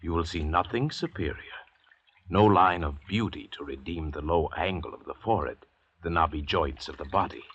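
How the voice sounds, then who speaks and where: A deep-voiced man a cold, arrogant doctor and convict leader in a vintage radio play. He speaks with measured, condescending eloquence, through a dull recording with clear background noise. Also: fairly bright tone. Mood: haughty disdain. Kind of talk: old-time radio drama acting.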